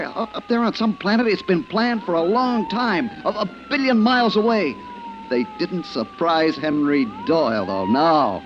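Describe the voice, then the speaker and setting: An actor playing a nervous, secretive, stubborn family man in an old radio drama, halting and stammering but insistent. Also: on the high side. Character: jittery, secretive, stubbornly possessive suburban husband and father. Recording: muffled, hissy vintage radio recording.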